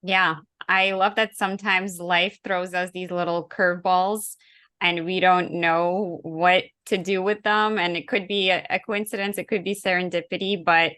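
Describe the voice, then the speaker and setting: A woman's voice: lowish voice, fairly even intonation, moderate pace, fairly bright tone, clean tone. A woman hosting a podcast, interviewing a guest in a conversational style. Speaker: podcast host.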